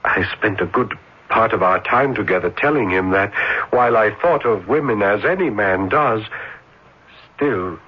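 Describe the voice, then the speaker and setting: An actor playing a shy, ardent young man in a radio drama, earnest, halting and florid in his way of talking. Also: fairly low pitch, lively intonation, slightly rough. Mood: adoring, fervent. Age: young adult. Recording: dull, lo-fi radio drama recording.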